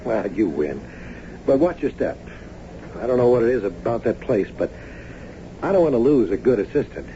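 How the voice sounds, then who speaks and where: An actor playing a friendly, protective boss in a naturalistic radio drama, talking casually and with concern. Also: male voice, medium pitch, very animated, moderate pace, long pauses. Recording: muffled, faintly noisy old radio broadcast.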